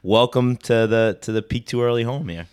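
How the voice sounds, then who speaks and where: A man hosting a podcast from his living room, chatting casually with friends, laughing often and hoarse from losing his voice. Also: medium pitch, normal speed, a little mellow. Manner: laughing often, rambling storytelling. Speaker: podcast host. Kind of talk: casual chat.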